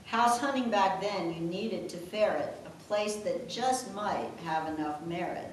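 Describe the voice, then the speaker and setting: An older woman reading rhymed verse aloud in a measured, deliberate way, with chatty asides. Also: medium pitch. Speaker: daughter reading her own birthday poem. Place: echoing room at a family gathering. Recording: very echoey room, distant mic, faint noise.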